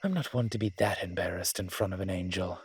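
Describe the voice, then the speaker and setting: Man acting a deep, husky, wry demon. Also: fast talker. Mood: musing, cautious. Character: wry, worldly male incubus demon, smooth-talking and sly. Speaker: audio roleplay character. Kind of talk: dramatic character roleplay acting.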